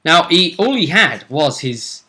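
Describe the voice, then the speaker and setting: A man's voice: fairly high pitch, very animated, a little slow, bright, forceful tone. Man chatting through a game recap. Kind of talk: casual tabletop game recap.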